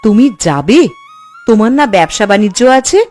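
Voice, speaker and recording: A woman playing a fretful, scolding housewife and doting mother in a cartoon, exasperated and coaxing in an expressive way. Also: medium pitch, moderate pace, faint music behind, natural voice. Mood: complaining, doubtful. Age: adult. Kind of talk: cartoon voice acting.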